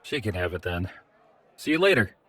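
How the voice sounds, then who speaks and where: A man playing a large mechanical adventurer, polite and calm, in an audio drama. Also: deep voice, moderate pace, heavily acted.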